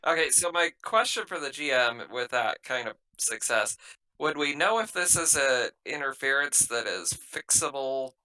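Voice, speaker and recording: Very bright-voiced man at game table. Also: medium pitch, expressive, normal speed, poor audio. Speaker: roleplaying game player, playing the captain. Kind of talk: casual in-character tabletop chat.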